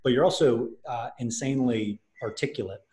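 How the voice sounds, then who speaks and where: A man's voice: mid-range voice, a little fast, mellow. A man in his thirties hosting a podcast interview over a video call, asking long, looping questions full of fillers. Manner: jokey, rambling questions with fillers. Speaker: podcast host. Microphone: headset on video call.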